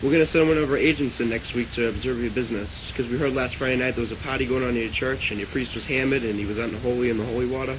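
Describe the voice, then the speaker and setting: A man prank calling as a stiff, solemn government agent, hesitant and deadpan, on a noisy phone call recording. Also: mid-range voice, rapid-fire, few pauses, close to an everyday voice. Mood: deadpan, official. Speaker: prank caller.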